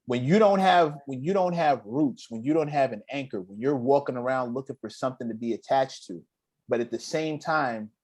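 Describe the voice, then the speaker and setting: A middle-aged man hosting a casual talk show, speaking emphatically and getting animated as he recites lyrics. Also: medium pitch, moderate pace. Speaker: podcast host. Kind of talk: casual chat.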